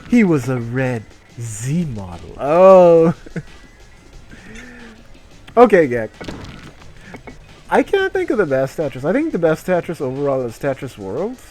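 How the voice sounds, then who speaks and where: A man hosting a comedic dramatic reading, excitable and given to jokey asides. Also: on the high side, a little slow, long pauses, soft background music. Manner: excitable, breaks into jokey commentary. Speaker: reader and gamer host.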